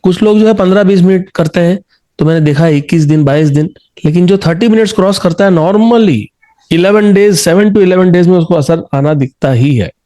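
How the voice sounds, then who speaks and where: A man's voice: high-pitched, normal speed, warm tone. A man with an Indian accent speaking as an encouraging singing coach in a conversational interview, mixing in English phrases.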